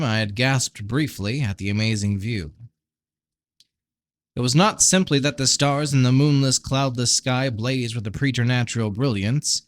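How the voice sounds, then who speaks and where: A man's voice: medium pitch, no echo. Tired man reading fantasy, dry close sound. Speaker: amateur audiobook reader. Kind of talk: scripted narration of a fantasy novel.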